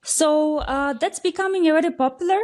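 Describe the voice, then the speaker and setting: A woman from Kyrgyzstan, a local tour operator, answering interview questions in a relaxed, explanatory way with frequent fillers. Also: high-pitched, normal speed. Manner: explanatory, many fillers, steady flow. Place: podcast recording.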